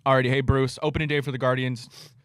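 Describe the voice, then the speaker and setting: A man's voice: medium pitch, little pitch movement, quick pace, smooth, dry recording. A man co-hosting a sports talk show, asking questions in an upbeat, conversational way. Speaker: show co-host reading questions.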